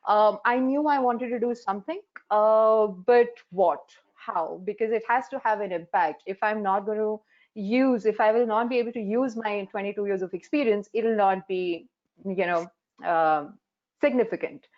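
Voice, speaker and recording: A woman's voice: mid-range voice, normal speed. Woman in her 40s, Hindi native speaker from India, earnest life coach on a muffled video call. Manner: earnest, frank storytelling with many fillers. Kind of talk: webinar panel talk.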